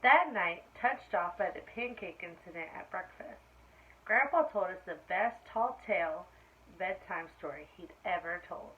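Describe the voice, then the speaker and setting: A woman reading a picture book aloud to young children like a teacher, with expressive storytelling delivery. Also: lowish voice. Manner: expressive storytelling, pausing to ask children questions. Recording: dull lo-fi sound with clear background noise.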